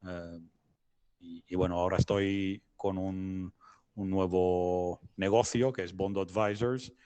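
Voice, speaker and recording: A middle-aged man from Italy, a non-native Spanish speaker, explaining his work as an interview guest in a halting way, searching for words. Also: deep voice, fairly even intonation, dark tone, smooth, no room sound. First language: not Spanish. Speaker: interview guest, business advisor. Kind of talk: conversational explanation.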